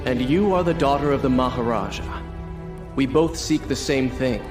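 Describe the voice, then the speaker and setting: A man playing a proud, earnest young warrior prince in a video game, with a dramatic, expressive delivery. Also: medium pitch, moderate pace, natural voice. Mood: determined. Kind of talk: video game cutscene acting. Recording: voice over background music.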